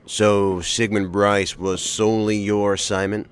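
An actor playing a cool, probing male federal investigator in an audio drama, speaking in a formal, measured way. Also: fairly low pitch, flat delivery, clear, resonant tone, lightly acted. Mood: businesslike. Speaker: investigator in a task force.

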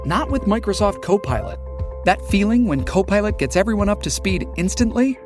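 A man voicing a scripted commercial in a bright, playful sales style. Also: high voice, big pitch swings, brisk, music in the background. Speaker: ad voiceover.

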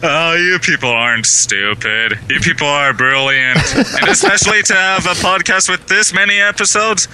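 A man's voice: on the high side, animated, moderate pace, sharp tone, slight background noise. A man from southwestern Ontario calling into a show, hesitant with lots of ums, his voice a little tinny. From southwestern Ontario, Canada. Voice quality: slightly tinny, processed sound. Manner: hesitant with many ums, lavish praise. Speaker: caller. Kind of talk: casual call-in chat.

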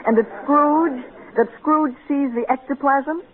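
A man's voice: very high-pitched, a little slow. An actor playing a snooty, precocious rich boy with prim, formal diction in old-time radio style. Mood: skeptical, pedantic. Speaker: actor in a radio comedy-drama. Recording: narrow tinny vintage radio broadcast.

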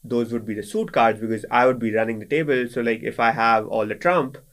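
A man's voice: medium pitch, fairly even intonation, a little fast, fairly bright tone. A young man in his twenties from Pakistan, a native Sindhi speaker, chatting casually as a guest with lots of fillers and restarts. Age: 20s. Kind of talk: casual conversation.

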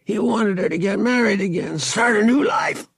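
A man's voice: high-pitched, normal speed, vocal fry, husky, no room sound, pitched above the performer's own voice, heavily acted. Man putting on a cruel, mocking young cousin. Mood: strained, insistent. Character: taunting, cruel young male cousin, sadistically gleeful.

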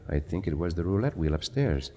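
A man playing a gallant, calm caballero hero in an old radio drama, speaking formal English with Spanish words. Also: deep voice, a little fast. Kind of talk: 1950s radio drama acting. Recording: noisy old radio recording.

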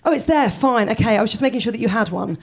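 A woman hosting a live podcast on a theatre stage, joking and swearing with the audience. She is croaky from a cold. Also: a little high, animated, fast talker, crowd noise. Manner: self-deprecating, jokey, sweary. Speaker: podcast host.

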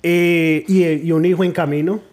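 A man from New Jersey talks as a guest on a casual podcast. His voice is a bit husky and slightly high, and he laughs often while telling stories. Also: steady tone, moderate pace, darkish tone. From New Jersey, United States. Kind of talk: casual interview talk.

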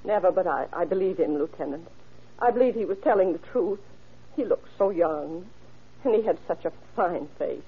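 Worried older mom in an old radio play, really raspy voice, muffled recording. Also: female voice, on the low side, expressive, normal speed. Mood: shaken, earnest. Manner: fretful, hesitant, earnest. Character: anxious, trusting mother of a grown son. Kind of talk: vintage radio drama acting.

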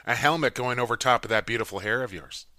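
Guy hosting a sports podcast, bright clear voice, super dry sound, rambly and jokey. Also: medium pitch, quick pace, dry recording. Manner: chatty, teasing, rambling. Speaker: podcast host. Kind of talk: casual sports talk.

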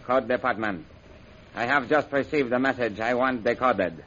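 An actor playing a commanding, methodical Chinese general in an old-time radio serial, speaking formally and deliberately. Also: male voice, medium pitch, fairly bright tone, close to an everyday voice. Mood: measured authority. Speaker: general giving orders and reading out messages. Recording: dull, noisy old radio recording.

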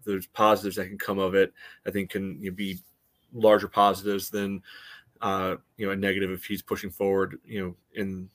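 An American man co-hosting a soccer podcast, talking casually and analytically in a rambling, halting way. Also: sits low, completely flat delivery, fairly bright tone. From United States. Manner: rambling, hesitant, frequent "you know". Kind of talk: casual chat, match analysis.

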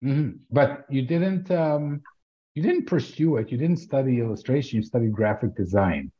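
A male show host conducts a conversational interview at a middling pitch. He puts his questions haltingly and deliberately, pausing between phrases. The sound is a bit dull and lo-fi. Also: expressive.

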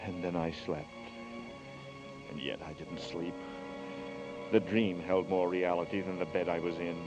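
A man's voice: deep voice, fairly even intonation, measured pace. An actor playing a haunted young man accused of murder, narrating and pleading with intense, desperate energy in a 1940s radio drama. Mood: dread. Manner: intense, rising to desperate outbursts. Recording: dull lo-fi 1940s radio broadcast. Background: dramatic orchestral music.

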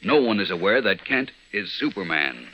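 A man delivering scripted narration as a vintage radio announcer, measured, dramatic and declamatory. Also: lowish voice, very animated, pushed and bright, low-quality recording. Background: music.

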